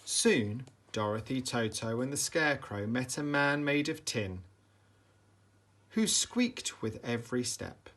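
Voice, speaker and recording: A man reading a children's bedtime story aloud with dramatic, deliberate emphasis for young listeners. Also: mid-range voice, expressive, a bit bright. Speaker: storybook reader, teacher.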